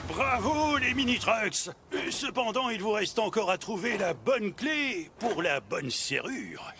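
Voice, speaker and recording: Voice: medium pitch, sing-song, a little slow, noisy background. An actor playing older male truck characters in a French children's cartoon, theatrical and encouraging while explaining things. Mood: proud, congratulatory. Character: Sam the pirate and Grandpa Ted, older male trucks. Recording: music behind the voice. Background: cartoon music.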